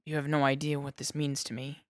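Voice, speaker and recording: A brooding male vampire boss who murmurs in few words, his voice dropped low and quiet, as a woman puts him on. The reading is close and dry. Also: flat delivery, brisk, no room sound, close to an everyday voice. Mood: grave murmur.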